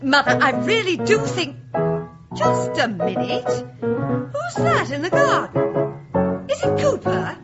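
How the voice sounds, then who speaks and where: Radio play, dull recording with loud music: snobbish upper-class Englishwoman, exasperated, measured, high-pitched. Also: wildly swooping pitch, exaggerated voice. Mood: disapproving. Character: snobbish, disapproving, exasperated grown-up English daughter. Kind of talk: British radio play acting.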